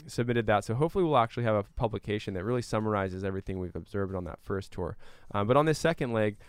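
Smooth, low-voiced man explaining earnestly. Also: animated, racing, no echo. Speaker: interview guest, climate activist and scientist. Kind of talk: conversational interview answers.

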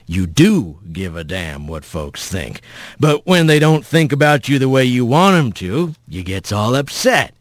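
Soft, rough, deep folksy spirit creature. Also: male voice, very animated, a little slow, no room sound, studio quality. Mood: folksy, needling. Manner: rustic drawl with folksy grammar. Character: dark, huge, mocking folksy paternal spirit creature.

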